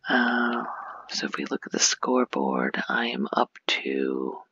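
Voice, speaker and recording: A woman giving a soft-spoken ASMR game tour, hushed and often slipping into a whisper, breathy and creaky, rambling unhurriedly as she thinks aloud. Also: a bass voice, big pitch swings, measured pace, muffled recording. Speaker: gaming ASMR host.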